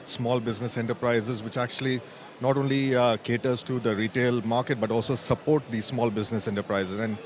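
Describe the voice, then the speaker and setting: Mid-pitched man in an interview. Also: steady tone, brisk. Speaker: visitor interviewee. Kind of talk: unscripted interview.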